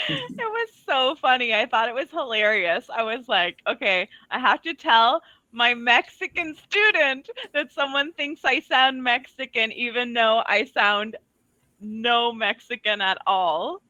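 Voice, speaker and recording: Bright-voiced chatty woman tutor. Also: fairly high pitch, expressive, unhurried, no echo, lo-fi sound. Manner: chatty, laughs often, loose storytelling. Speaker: English tutor. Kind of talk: casual online lesson chat. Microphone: video call.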